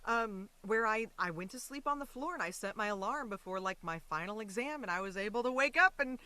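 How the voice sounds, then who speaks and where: A woman guest in a casual interview, with a bright, forceful voice at an average pitch. She talks expressively and is quick to giggle. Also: lively intonation. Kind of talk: casual chat.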